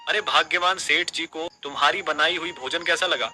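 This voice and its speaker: A man's voice: on the high side, moderate pace, bright, forceful tone, faint music behind. A man playing a humble, dutiful servant and husband in a cartoon moral story, speaking earnestly and politely. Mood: puzzled. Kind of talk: animated moral story dialogue.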